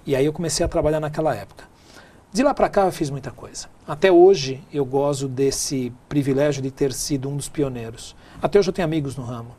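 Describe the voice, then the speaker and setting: Middle-aged man, São Paulo Brazilian accent, slightly high voice, conversational storyteller in an interview seat. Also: brisk, mellow. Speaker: interview guest, tech speaker and businessman.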